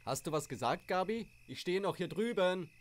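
A man's voice: high-pitched, expressive, moderate pace. A man playing a serious, suspicious young detective gang leader in an audio drama parody. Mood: curt.

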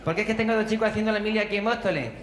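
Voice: mid-range voice, racing, fairly bright tone, lightly acted. A performer playing a middle-aged woman phoning in who rambles and repeats herself in muddled loops. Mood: rambling. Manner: rambling, repeats and mixes up her own words. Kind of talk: comedy sketch phone call. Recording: echoey and noisy.

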